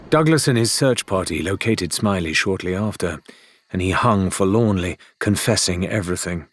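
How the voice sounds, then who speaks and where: Deep husky man narrating a story. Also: a bit bright, no echo. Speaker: audiobook narrator. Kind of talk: scripted dramatic audiobook narration.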